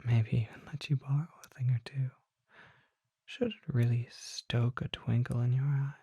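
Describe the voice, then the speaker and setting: Someone doing a polite curious old vampire shopkeeper, asmr whispering, creaky, super close and dry, genteel. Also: medium pitch, flat delivery, mellow, no echo. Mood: coaxing, curious. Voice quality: breathy, mostly whispered. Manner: slow, genteel, formal phrasing. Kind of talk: ASMR roleplay, soft intimate monologue.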